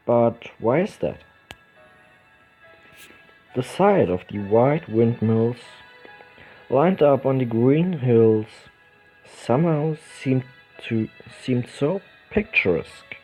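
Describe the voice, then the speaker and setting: A man reading a visual novel's narration aloud, slowly and with small stumbles and restarts. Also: medium pitch, lively intonation, warm tone. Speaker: let's play host. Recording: dull lo-fi recording with game music behind.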